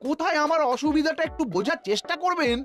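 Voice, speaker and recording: A man's voice: extremely high pitch, expressive, quick pace, a bit bright, soft background music. A man playing a scheming, resentful royal minister in a cartoon, with a whiny, indignant, exaggerated delivery. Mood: aggrieved complaining. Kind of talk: animated cartoon dialogue.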